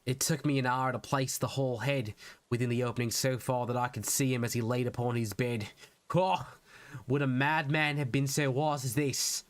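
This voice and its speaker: A man voicing an unhinged, proud murderer in a Gothic horror monologue, speaking in a theatrical, deliberate way with emphatic pauses. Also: mid-range voice, steady tone, dry recording, close to an everyday voice. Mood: sly, boastful. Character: unhinged murderer narrator, proud, insisting he is sane. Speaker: VTuber practicing voice acting.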